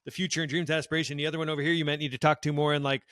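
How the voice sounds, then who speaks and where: A male podcast host who talks fast and eagerly, with plenty of quick agreement. His voice sits slightly high. The sound is dry and close-miked. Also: flat delivery, fairly bright tone, dry recording. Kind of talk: casual podcast interview.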